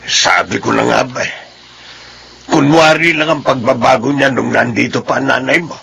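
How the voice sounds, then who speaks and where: A man's voice: medium pitch, moderate pace, creaky, pushed and bright, slightly rough, exaggerated voice. A man playing a wary, protective elderly grandfather in a radio drama. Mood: concerned. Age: older. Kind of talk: Filipino radio drama acting.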